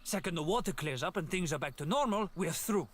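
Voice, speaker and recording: A man's voice: high-pitched, expressive, brisk, a little hiss or hum. An actor playing a cocky young male street gang boss in a video game, speaking with a menacing drawl. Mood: amused. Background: game music.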